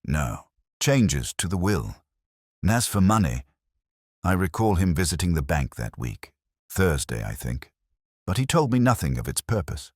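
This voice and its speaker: Man voicing a hushed, husky Victorian widow. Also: low voice, very animated, no room sound, clean studio sound, full character voice. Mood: puzzled, recalling. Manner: hushed, deliberate, formal. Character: Mrs. Denham, hushed, hesitant, proper Victorian widow.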